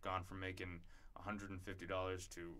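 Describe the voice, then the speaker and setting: A man's voice: low voice, little pitch movement, a little fast, sharp tone. A man interviewing an old friend, speaking conversationally and often affirming him. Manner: conversational, frequent affirming backchannels. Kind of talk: casual interview chat.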